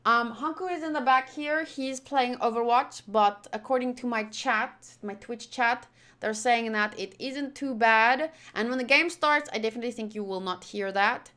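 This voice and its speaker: A woman's voice: on the high side, normal speed, a bit bright. A woman chatting casually on a livestream as she plays and reads along with a game, in a rambling, playful way. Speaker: streamer.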